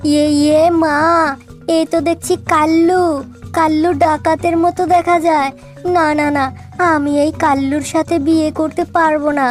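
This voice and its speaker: Woman as stubborn young cartoon daughter, very high childlike voice, emphatic, music behind. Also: level tone, normal speed, lightly acted. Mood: disgusted, defiant. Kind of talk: cartoon dialogue dubbing.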